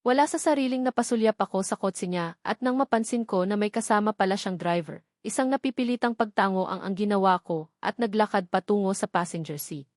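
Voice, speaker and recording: A woman's voice: mid-range voice, fairly quick, a little mellow, dry recording, studio quality. A woman reading a first-person romance novel as a scripted audiobook narration.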